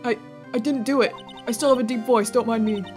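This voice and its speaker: A woman's voice: on the high side, steady tone, brisk. Playful woman reading game dialogue. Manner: playful, jokey asides. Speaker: let's play streamer. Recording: game music behind.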